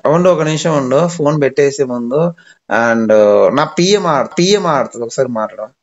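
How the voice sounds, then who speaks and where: A man's voice: fairly high pitch, brisk. A man chatting casually on the phone in a playful, teasing, evasive way. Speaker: prank caller. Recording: muffled lo-fi phone call recording.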